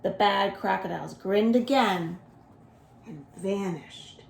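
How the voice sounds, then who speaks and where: A woman reading a children's story aloud in an expressive, deliberate way, as a storyteller for young children. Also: medium pitch, creaky, a little hiss or hum. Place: indoors at home. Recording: roomy echo of a home room.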